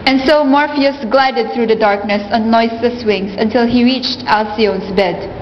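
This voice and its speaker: A woman's voice: on the high side, measured pace, a little hiss or hum, lightly acted. A woman narrating a myth in a scripted storybook style, as the narrator of a radio play. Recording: muffled, echoing, music behind.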